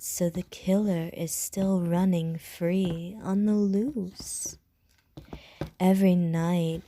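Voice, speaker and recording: A woman's voice: on the low side, mellow, a little hiss or hum. A woman voicing a flirtatious, predatory female vampire in an ASMR roleplay, teasing in sly, often whispered innuendo.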